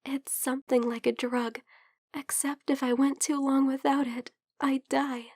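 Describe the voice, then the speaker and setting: Woman doing a soft high vampire bestie for asmr roleplay, slow n caring, kinda sick, whispery, super dry close sound. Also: level tone, dry recording. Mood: troubled, confessing. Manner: slow, gentle, hesitant, slipping into whispers. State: slightly under the weather. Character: caring young female vampire best friend, sick, tender.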